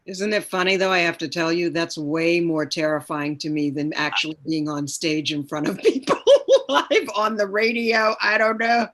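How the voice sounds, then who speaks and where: A woman's voice: on the low side, fairly even intonation, normal speed, fairly bright tone. A woman chatting casually on a panel, candid and amused.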